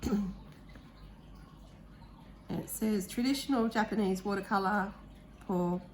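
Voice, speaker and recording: A woman with a croaky voice, chatting casually and eagerly about art supplies as she unboxes them, with the odd um. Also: mid-range voice, background noise. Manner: chatty, enthusiastic, thinking aloud with ums. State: croaky voice, clearing throat. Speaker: art vlogger.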